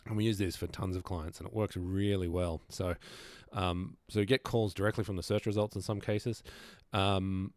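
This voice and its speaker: A man's voice: sits low, steady tone, normal speed, dry recording, studio quality. A man presenting an informal screen-share tutorial, explaining things casually and thinking aloud as he goes.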